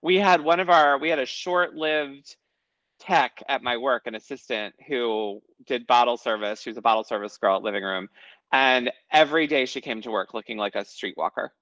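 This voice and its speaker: Guy with a bright animated voice, blunt and sweary, kinda dull lo-fi mic. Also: medium pitch, moderate pace, no echo. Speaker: podcast co-host. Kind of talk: casual recap chat.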